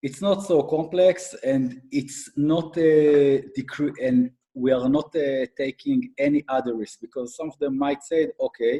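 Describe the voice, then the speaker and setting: A middle-aged man with an Israeli accent giving an explanatory business talk as a guest expert, haltingly, with frequent fillers. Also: on the high side, fairly even intonation, dark tone, low-quality recording. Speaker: guest speaker, IT advisory consultant and accountant.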